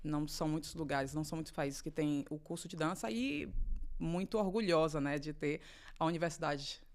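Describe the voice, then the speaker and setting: Woman, Bahian accent, middle-aged, lowish voice, chatty guest, laughs easily. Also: a little fast. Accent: Bahian Brazilian Portuguese. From Salvador, Bahia, Brazil. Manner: lively, laughs often, repeats herself. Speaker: guest, professional dancer. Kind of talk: casual podcast chat.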